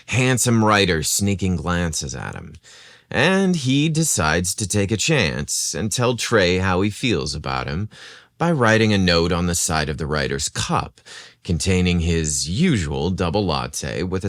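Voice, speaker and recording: Very deep-voiced man narrating romance. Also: dry recording, studio quality. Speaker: podcast story narrator.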